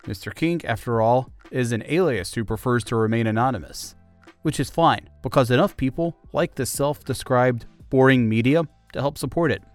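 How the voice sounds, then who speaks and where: A man's voice: mid-range voice, moderate pace, dry recording, soft background music. A man hosting an interview podcast, speaking in a thoughtful, explanatory conversational way. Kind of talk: conversational interview with narrated segments.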